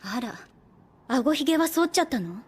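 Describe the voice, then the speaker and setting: An actress playing a shrewd young woman soldier in an anime drama, composed, unhurried and coolly persuasive with a soft teasing edge. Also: high-pitched, lively intonation. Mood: lightly teasing. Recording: background music under the voice. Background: music.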